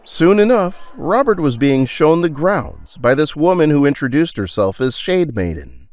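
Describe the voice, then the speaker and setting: A man's voice: on the high side, sing-song, unhurried, darkish tone. A man narrating a dramatic scripted adventure story as its storyteller. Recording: music under the voice.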